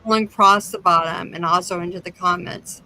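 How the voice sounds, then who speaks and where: A woman's voice: fairly low pitch, fairly even intonation, normal speed, pushed and bright, rough recording. A woman hosting a show, chatting casually as she interviews a guest. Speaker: show host. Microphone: online call.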